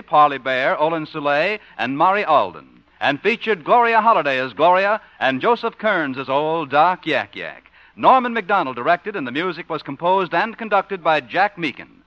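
A muffled vintage radio recording of a male announcer. His voice is high and bright, delivering scripted copy in the formal style of 1950s radio. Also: expressive, moderate pace, dry recording.

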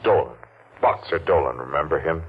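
Muffled, noisy old radio recording of a sinister masked avenger in a mystery play. His voice is extremely deep, and he taunts his prey with slow-burning, deliberate accusation. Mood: menacing, accusing. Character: menacing masked avenger, a betrayed man taunting his betrayer. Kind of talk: 1940s radio mystery acting.

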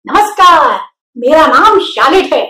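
A tiny, wise spider lady, voiced by a woman storyteller, talks in a soft, soothing, polite way. The voice sits high and echoes around the room. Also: lively intonation, a little slow, exaggerated voice. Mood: friendly. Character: small gentle female spider, calm and wise.

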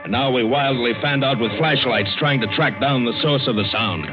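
An actor playing a hard-boiled, cynical adventurer who narrates an old radio drama, terse and bitter. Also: male voice, mid-range voice, normal speed, natural voice. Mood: tense, suspenseful. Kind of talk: 1940s-50s radio drama narration and acting. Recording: dull old radio broadcast with music.

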